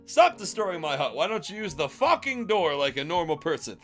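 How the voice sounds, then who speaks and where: Guy playing a cocky cartoon hedgehog hero, loud and brash, bright high voice. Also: sing-song, a little fast, soft background music. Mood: annoyed. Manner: brash, exclaiming.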